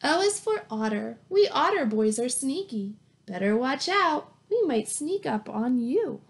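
Animated, slow-paced woman reading to children. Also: high-pitched, creaky. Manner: slow, sing-song, encouraging way of talking to young children. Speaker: teacher reading aloud to young children. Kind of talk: children's picture-book read-aloud with simple questions and praise. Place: small plain indoor room at home. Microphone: webcam or laptop mic at arm's length.

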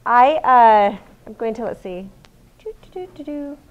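A middle-aged woman lecturing in a university classroom, her voice loud and pitched a little high. She keeps it conversational, asking the audience questions, and she is picked up by a room mic from a distance. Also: animated, unhurried, highs cut off. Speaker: guest lecturer.

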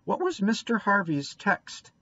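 A prying, scolding older woman of a storybook, put on by a male reader, questions and admonishes in a raised, swooping pitch. The recording is dull and dry. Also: huge pitch swings, pushed and bright, dry recording, heavily acted. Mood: stern, quizzing. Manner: brisk interrogating, admonishing. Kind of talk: storybook character reading.

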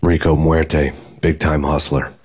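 A man playing a brooding, world-weary American cop who narrates in a deadpan, hardboiled noir style. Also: very deep voice, unhurried, slight background noise. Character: brooding, world-weary male New York cop gone rogue. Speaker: narrator protagonist.

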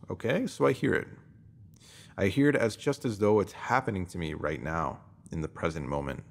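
A man narrating a scripted self-help text in an instructive, measured way, posing emphatic rhetorical questions. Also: on the low side. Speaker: narrator reading a written post.

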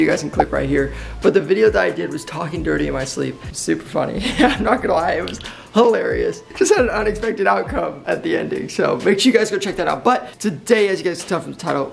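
A man's voice: fairly high pitch, gravelly. A young man talking casually and upbeat to a handheld camera inside a house. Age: young adult. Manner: upbeat, chatty. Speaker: vlogger. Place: house interior. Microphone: handheld camera at arm's length.

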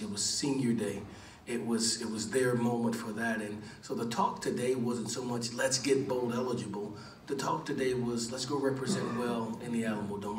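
Earnest coach in an echoey press room. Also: male voice, medium pitch, far from the mic, slight background noise. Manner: measured, earnest, many "uh" pauses and repeated words. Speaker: head football coach. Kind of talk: postgame press conference answers. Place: large press room with backdrop. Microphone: table mic, recorded from across the room.

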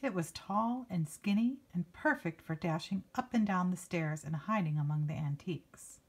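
A woman's voice: on the low side, moderate pace, a little mellow, breathy edge. A woman reading a picture book aloud to children like a teacher, expressively stretching key words and adding casual asides. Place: indoors, book held before a handheld camera.

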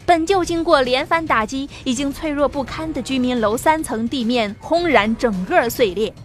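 A woman reading a science fiction novel aloud as an audiobook narrator, in a steady, vivid storytelling manner. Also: high voice, moderate pace, soft background music.

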